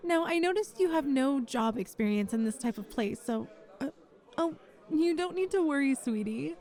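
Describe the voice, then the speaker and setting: A woman playing a kind, flirty female bar manager in an ASMR roleplay, gently reassuring and encouraging a nervous job applicant. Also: high voice, animated, normal speed, a faint crowd. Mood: encouraging, upbeat. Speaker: voice actor in an audio roleplay. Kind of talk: ASMR roleplay, one-sided conversation.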